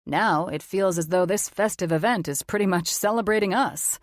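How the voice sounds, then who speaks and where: A woman's voice: fairly low pitch, normal speed, no room sound, clean studio sound, natural voice. A woman doing a suave, confident grown man. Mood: smug, assured. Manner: smooth, teasing, self-assured. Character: suave confident mature man, fake fiancé.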